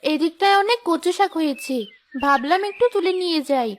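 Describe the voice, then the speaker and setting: A woman's voice: very high voice, fairly quick. A woman voicing an exasperated, scolding village wife in a cartoon, with a nagging, complaining manner. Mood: puzzled. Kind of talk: cartoon voice acting.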